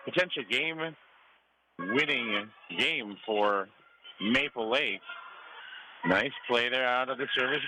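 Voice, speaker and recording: A man's voice: mid-range voice, bright, forceful tone. A man calling live volleyball play-by-play for radio in clipped short calls that rise on big plays. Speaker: sports play-by-play announcer. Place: school gymnasium. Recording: noisy live gym broadcast. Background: gym ambience, cheering student sections.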